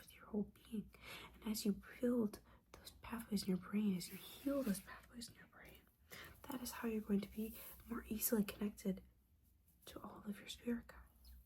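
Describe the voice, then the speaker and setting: A woman's voice: mid-range voice, warm tone, a little hiss or hum, lightly acted. A woman playing a disappointed, sarcastic witch mentor in an ASMR roleplay, whispering breathily in a casual, sweary, scolding manner.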